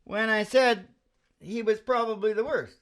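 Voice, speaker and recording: A man's voice: high voice, measured pace. An older man hosting a music show, chatting casually and rambling in a folksy, opinionated way. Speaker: host of an amateur radio-style music show. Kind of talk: casual rambling chat between songs. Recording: acoustic guitar behind the voice. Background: acoustic guitar.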